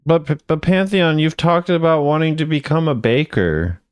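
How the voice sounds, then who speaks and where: A man's voice: fairly high pitch, moderate pace, clean tone, no room sound, clean studio sound. A man hosting a gaming video, giving laid-back, off-the-cuff commentary as he thinks aloud. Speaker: video host ranking game voice lines.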